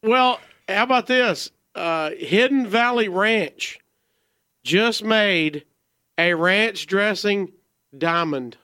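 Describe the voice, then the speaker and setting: A man's voice: high-pitched, slow pace, pushed and bright, clear, resonant tone, no echo, clean studio sound. A man chatting on a radio show and telling a jokey story. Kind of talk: casual chat, storytelling.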